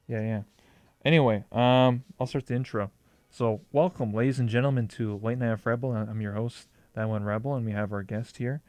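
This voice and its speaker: A laid-back man hosting a casual online interview, speaking in a middle pitch. He talks in a halting, easygoing way full of ums and you knows, and his voice sounds close and dry. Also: steady tone, darkish tone, no room sound. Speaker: host.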